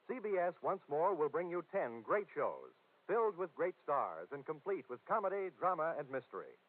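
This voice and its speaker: Animated 1940s radio announcer, a man. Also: on the high side. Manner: polished, promotional. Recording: tinny 1940s radio recording.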